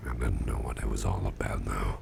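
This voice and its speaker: An actor playing a sickly, gruff but genial boss in an ASMR roleplay, musing slowly and haltingly in a half-whisper close to the microphone. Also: male voice, fairly even intonation, very noisy, heavily acted. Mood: puzzled, musing. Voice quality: very deep, gravelly, breathy half-whisper. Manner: slow, halting, folksy musing with pauses.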